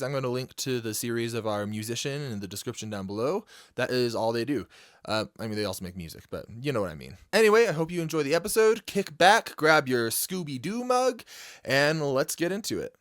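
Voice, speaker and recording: A man hosting a show in a casual, chatty style. His voice is clear and smooth, recorded very dry and close with no room sound. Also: medium pitch, sing-song, a little fast, fairly bright tone, dry recording. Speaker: show host introducing episode. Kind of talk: casual intro chat.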